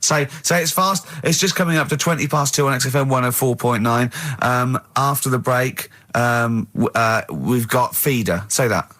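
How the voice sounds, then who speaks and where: Give a bright, punchy male voice. He hosts casual, comic radio banter, stammering into sentences and repeating phrases for emphasis. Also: medium pitch, moderate pace, few pauses. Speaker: radio show host.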